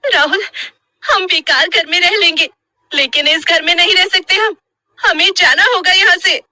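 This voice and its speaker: A woman acts out an emotional young wife in a haunted-house story. Her voice is high and very sharp, exclaiming and pleading, recorded close and dry. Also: moderate pace, no room sound, full character voice. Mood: frightened. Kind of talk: animated horror story dialogue.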